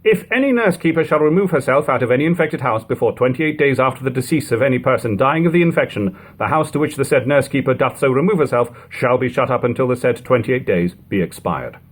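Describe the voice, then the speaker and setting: Husky man reading aloud, swooping intonation. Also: mid-range voice, expressive, fast talker, hardly any pauses. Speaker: narrator reading a book aloud. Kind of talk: audiobook-style reading of an archaic text.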